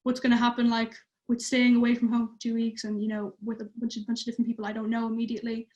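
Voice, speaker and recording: A woman's voice: a little high, completely flat delivery, rapid-fire, a little mellow, dull audio. A teenage girl from Ireland, chatting casually on a livestream and using many fillers. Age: teens. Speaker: youth theatre participant.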